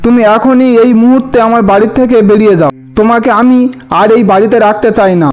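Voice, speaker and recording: পুরুষের অভিনয়ে চড়া গলার রগচটা মাতৃভক্ত ছেলে. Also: male voice, very high-pitched, fairly even intonation, fast talker, darkish tone, lo-fi sound. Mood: furious. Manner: indignant, threatening. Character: hot-headed young husband, mother's devoted, gullible son. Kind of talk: Bengali fairy-tale cartoon dub.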